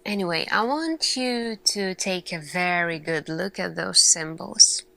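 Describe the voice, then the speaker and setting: A woman playing an earnest lead scientist in an ASMR roleplay, speaking deliberately in short phrases with dramatic pauses. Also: on the low side, creaky, pushed and bright. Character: earnest female lead scientist addressing her team. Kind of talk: slow ASMR roleplay monologue.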